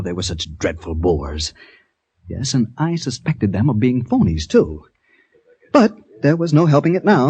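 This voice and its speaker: A man's voice: on the low side, a little mellow. An actor playing a fussy, anxious traveler, hesitant and a little prim, narrating his misadventure in a radio drama. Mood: rueful. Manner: hesitant, stammering, slightly prim.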